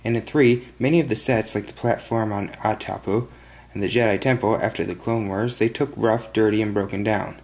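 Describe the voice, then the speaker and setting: A young man reading a film review in a flat, monotone manner, recorded on a webcam in a small bedroom. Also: lowish voice, fairly quick, poor audio. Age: young adult. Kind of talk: read-out film review monologue. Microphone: webcam at desk.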